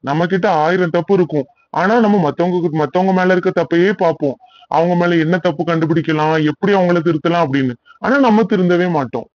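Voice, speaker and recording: High-pitched, earnest cartoon pastor played by a man. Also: no echo, dull audio, close to an everyday voice. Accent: southern Tamil Nadu. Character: kindly, earnest male village church pastor.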